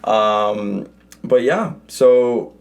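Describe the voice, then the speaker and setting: A man's voice: mid-range voice, very slow, gravelly, a little mellow. A young man hosting a student podcast, asking friendly, loosely phrased questions. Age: young adult. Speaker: podcast host. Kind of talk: casual interview.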